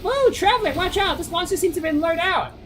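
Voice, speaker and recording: A man voicing a tiny, excitable female game companion in a chirpy, urgent tone. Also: extremely high pitch, fast talker, a little hiss or hum, pitched above the performer's own voice.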